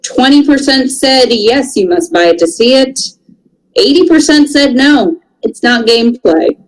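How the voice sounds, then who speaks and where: Female panelist, slightly high voice, lively melodic swings, conversational delivery. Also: unhurried, low-quality recording. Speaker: panelist and co-host. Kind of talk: casual chat, giving opinions.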